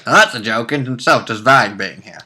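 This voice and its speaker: A man's voice: mid-range voice, brisk, bright, forceful tone. A man co-hosting a casual game discussion, joking and commenting in a blunt, sarcastic way. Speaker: co-host.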